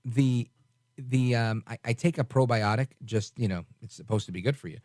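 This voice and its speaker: A man's voice: mid-range voice, normal speed, a little mellow, no echo, studio quality. A man in his forties hosting late-night talk radio, chatting casually with many restarts. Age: 40s. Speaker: radio talk show host.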